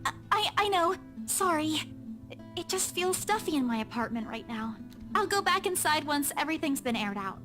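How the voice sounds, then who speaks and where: A flustered, earnest young woman from a visual novel game. Her voice sits very high and swings around a lot as she stammers and apologises, with music clearly playing behind her. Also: big pitch swings, moderate pace. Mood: evasive, apologetic.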